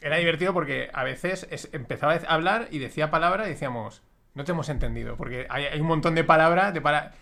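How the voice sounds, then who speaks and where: Male podcast host, higher-pitched and bright, chatty, laughs easily. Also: fast, gravelly. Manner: laughs often, acts out quoted remarks. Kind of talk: casual chat.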